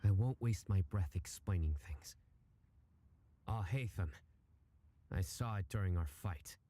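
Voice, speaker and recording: A man's voice: sits low, unhurried, dark tone. An actor playing a stern, cold male judge who hunts wrongdoers, in a video game, speaking in a threatening, clipped manner. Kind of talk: video game cutscene voice acting.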